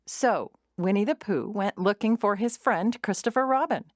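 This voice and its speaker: A woman narrating a scripted children's story in an expressive, measured storytelling manner. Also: mid-range voice, dry recording, clean studio sound.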